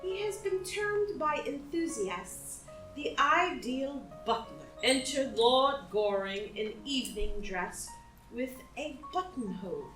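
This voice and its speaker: A woman's voice: sits high, expressive, slow, a bit bright. A woman reading stage directions as scripted narration for a play. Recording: echoey room, distant mic, music.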